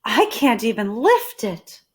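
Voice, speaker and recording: A cheerful storybook housekeeper played by a woman reading aloud, high in pitch and very swoopy. She exclaims with earnest eagerness and takes everything literally. Her words come out slowly and deliberately. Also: big pitch swings. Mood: determined.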